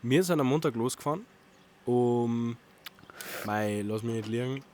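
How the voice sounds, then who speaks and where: A man's voice: medium pitch, a little slow, a little mellow. A young man with a Bavarian accent, a podcast co-host telling a story in a rambling way and laughing often. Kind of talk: casual banter.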